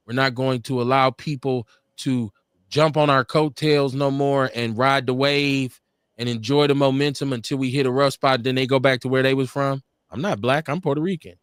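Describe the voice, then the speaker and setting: A man's voice: mid-range voice, steady tone, moderate pace, smooth, no room sound, studio quality. A man co-hosting a casual live podcast, rambling through stories with frequent self-corrections and laughs. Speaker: podcast co-host. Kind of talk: casual chat. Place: home studio, livestream.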